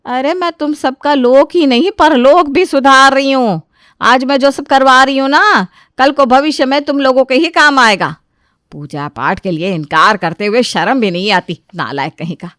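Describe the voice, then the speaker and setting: A high, smooth voice for a domineering, pious older matriarch , acted out by a woman. She issues orders and scolds insistently, in the manner of a storybook mother-in-law. The recording is close and dry. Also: moderate pace, fairly bright tone, no echo. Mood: scolding. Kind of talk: acted dialogue in a moral story.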